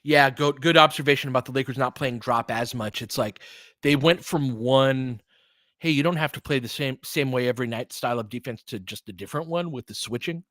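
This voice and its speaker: Male basketball play-by-play host, medium pitch, casual and conversational analysis, very dry sound. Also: a little fast, fairly bright tone, no room sound. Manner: conversational, thinking aloud. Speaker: host, fan play-by-play commentator.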